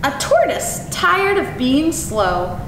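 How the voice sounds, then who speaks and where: A young woman presenting as a zoo educator and reading to children in an expressive, animated way. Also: slow. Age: young adult. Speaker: zoo educator and storytime host. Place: indoor room with painted animal mural. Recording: echoey, distant mic with clear rumbling noise.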